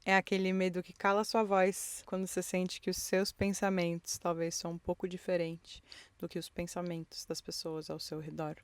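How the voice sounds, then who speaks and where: A woman's voice: lowish voice, flat delivery, normal speed, no echo. A woman hosting a solo podcast from inside her car, reflective and encouraging as she talks straight to her listeners. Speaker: podcast host. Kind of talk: intimate solo podcast monologue.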